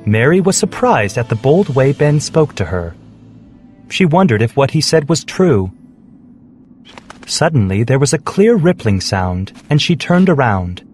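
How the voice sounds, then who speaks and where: A man's voice: mid-range voice, sing-song, measured pace, a little mellow, soft background music. A man narrating a scripted story for children.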